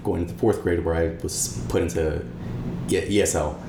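A man's voice: low voice, steady tone, moderate pace. A young man, a native Spanish speaker, giving earnest, explanatory answers as an interview guest. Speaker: interview guest, Peace Corps volunteer. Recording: echoey room with clear background noise.

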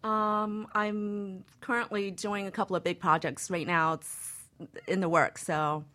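A woman's voice: mid-range voice, level tone, unhurried, bright, forceful tone. A woman speaking as a guest consultant in a casual radio chat. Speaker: guest, feng shui consultant.

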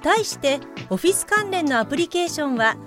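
A woman reading scripted explanatory narration in a polite, measured, instructive way. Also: high voice, lively intonation, fairly bright tone, faint music behind.

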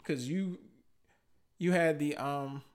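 A man with a slightly raised voice chats loosely about music and film. He talks in slang, gets animated, and laughs easily between points. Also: fairly high pitch, slow pace. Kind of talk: casual podcast chat about music.

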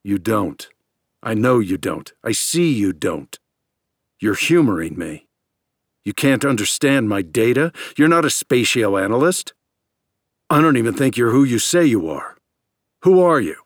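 A man's voice: mid-range voice, very animated, unhurried, long pauses, no room sound, clean studio sound. A man voicing an agitated, suspicious space scientist in an audiobook, insistent and accusatory, verging on hysteria. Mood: accusing.

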